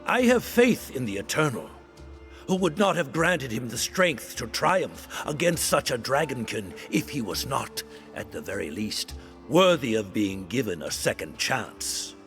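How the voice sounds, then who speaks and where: An actor playing a solemn, faithful council leader in a fantasy game, formal in delivery, with a gravelly voice. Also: male voice, mid-range voice, big pitch swings, measured pace, fairly bright tone, soft background music. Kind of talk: dramatic fantasy game acting.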